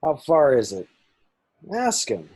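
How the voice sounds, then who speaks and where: A man reading a brash, impatient, gruff but caring smuggler in a film script table read on a video call. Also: medium pitch, wildly swooping pitch, slow, darkish tone, heavily acted.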